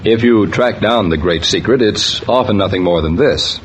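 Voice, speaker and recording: A genial man announcing and telling stories in a measured, folksy 1940s radio style. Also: on the low side. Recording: dull, noisy old radio transcription.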